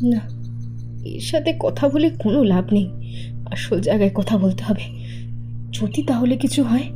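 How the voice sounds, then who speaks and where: Casting: actress as anxious young woman for horror cartoon; high, soft-edged mellow voice, earnest and pleading. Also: lively intonation, normal speed, soft background music, natural voice. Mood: earnest, determined. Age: young adult. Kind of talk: animated horror cartoon dialogue.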